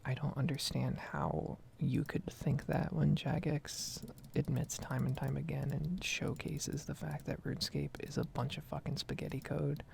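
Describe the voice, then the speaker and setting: A woman giving a casual, rambling gaming monologue in a soft, breathy ASMR whisper, close to the microphone. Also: low-pitched, level tone, normal speed, a little hiss or hum. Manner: casual, rambling, mild swearing.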